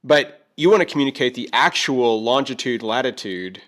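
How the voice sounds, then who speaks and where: A man's voice: medium pitch, expressive, normal speed, a bit bright. A man hosting a product overview in a casual, chatty way, often repeating his phrases. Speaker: host of a gear review video.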